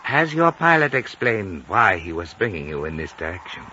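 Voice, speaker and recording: A man's voice: low voice, very animated, normal speed. A man playing a courteous elderly Chinese lamasery emissary in a 1950s radio drama, speaking formal, polite English. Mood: courteous, composed. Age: older. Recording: muffled noisy 1950 radio recording.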